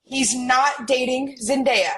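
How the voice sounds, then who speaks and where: A woman's voice: high voice, takes it slowly, bright, forceful tone, slight background noise, exaggerated voice. A woman playing a flighty, defensive, mystical millennial twin in a romcom table read, with dramatic, quippy delivery. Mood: puzzled, skeptical.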